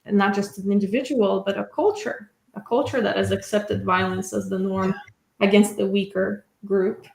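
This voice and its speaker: A woman's voice: mid-range voice, steady tone, normal speed, gravelly, darkish tone, echoey room, low-quality recording. A woman interpreting in a discussion, carefully and hesitantly piecing the points together.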